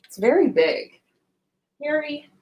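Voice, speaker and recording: A woman's voice: high-pitched, very animated, slow pace, a little mellow. A woman hosting a casual livestream in a playful, informal way, sometimes sing-song and casually sweary. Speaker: livestream host.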